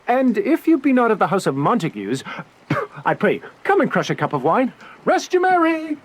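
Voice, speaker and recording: An actor playing a simple, illiterate, eager servant, bumbling comically through a Shakespearean radio drama. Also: male voice, high voice, huge pitch swings, moderate pace, heavily acted. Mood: cheerful.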